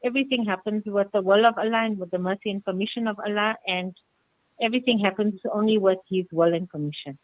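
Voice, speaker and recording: A woman's voice: mid-range voice, fast talker, dry recording. A woman with a South African accent speaking conversationally as a radio interview guest over a telephone line. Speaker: interview guest, community charity organiser. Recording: tinny phone line on radio.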